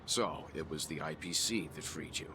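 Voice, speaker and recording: An actor playing a stern, wary, mature gentleman in a video game, speaking gravely and formally over music. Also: extremely low pitch, moderate pace, a little hiss or hum. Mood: stern, suspicious.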